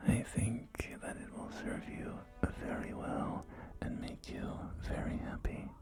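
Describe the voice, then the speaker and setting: A man playing a polite, salesy post-apocalyptic real estate agent in a whispered, breathy ASMR roleplay, with courteous, hesitant pauses. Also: medium pitch. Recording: soft game music behind. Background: music and game audio.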